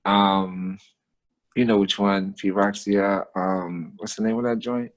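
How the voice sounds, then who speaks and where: A man's voice: fairly low pitch, steady tone, slow pace. A man with an American accent talking casually as a guest, telling stories and philosophizing in emphatic, slangy, repetitive phrases. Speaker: guest, street artist. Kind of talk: casual conversational talk and storytelling.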